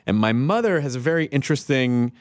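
A man's voice: mid-range voice, lively intonation, fast, no room sound, clean studio sound. A man co-hosting a podcast, chatting casually in a joking, rambling way with many restarts. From United States. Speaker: podcast co-host. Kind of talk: casual chat.

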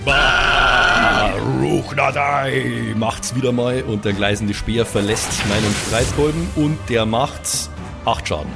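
A man's voice: mid-range voice, slow, vocal fry. A man chatting casually as a player in an improvised tabletop role-play. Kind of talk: casual improvised game chat. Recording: music behind the voice. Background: background music.

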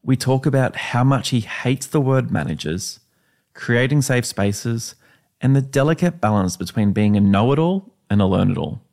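An Australian man, the podcast host, reading a steady, upbeat episode introduction. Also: mid-range voice, moderate pace, gravelly.

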